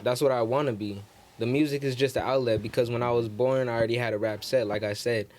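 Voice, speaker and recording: A man's voice: medium pitch, fairly even intonation, fast talker, darkish tone. A man chatting casually in slang as a podcast guest, emphatic, repeating his phrases and swearing. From Salinas, California, United States. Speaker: podcast guest, local rapper and promoter. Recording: clear background noise with clicks.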